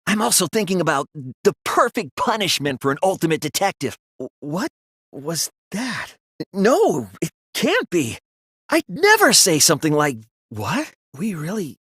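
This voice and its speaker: A man voicing an earnest, sensitive young student detective in an anime video game, with intense, emotional, sometimes halting delivery. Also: on the high side, fairly bright tone, slightly rough, no echo, clean studio sound, heavily acted. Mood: horrified, shaken. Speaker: voice actor.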